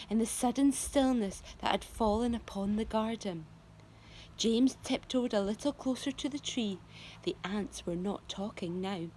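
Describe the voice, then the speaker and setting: A woman, a teacher, reading a children's book aloud with expressive, storybook delivery and hushed moments. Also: a little high, slight background noise, low-quality recording.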